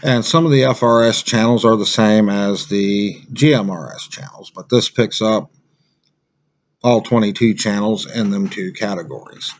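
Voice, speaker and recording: Low-pitched American man, casual and blunt. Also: normal speed. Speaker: survival instructor reviewing gear. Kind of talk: casual unscripted product review.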